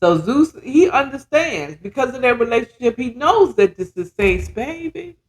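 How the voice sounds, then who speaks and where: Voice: medium pitch, very animated, moderate pace, low-quality recording. A podcast host casually retelling a story with dramatic, conversational emphasis, repeated words and blunt, slangy, profane commentary.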